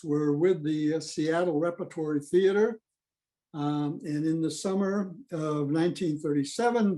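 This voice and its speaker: A man giving an informal history presentation on a video call, matter-of-fact and halting. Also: high voice, warm tone. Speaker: presenter at an old-time radio club meeting.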